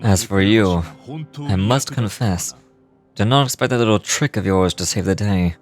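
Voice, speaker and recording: An actor playing a cold, resolute warlord in a video game, speaking in a solemn, measured, formal manner. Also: male voice, fairly low pitch, soft background music, close to an everyday voice. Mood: stern, measured. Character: grave, commanding male warlord, coldly resolute. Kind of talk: dramatic game voice acting.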